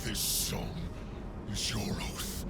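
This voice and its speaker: An actor playing a proud, bitter devil in a video game, theatrical and snarling, savoring his words. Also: drawn-out words. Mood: ominous chanting. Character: menacing male devil bound by a cruel contract, proud and bitter. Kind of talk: video game character acting. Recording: music behind the voice.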